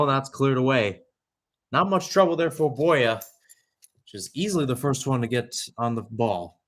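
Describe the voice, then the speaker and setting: A man's voice: medium pitch, a bit bright. A young man calling live soccer play-by-play in a radio style, often correcting himself and slipping into conversational asides. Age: young adult. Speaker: play-by-play commentator.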